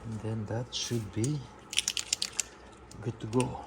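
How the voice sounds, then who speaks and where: A man's voice: on the low side, fairly even intonation, takes it slowly. A man hosting a casual hands-on vlog, explaining things step by step and talking directly to his viewers. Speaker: vlog host. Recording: clear background noise, vehicle and ambience.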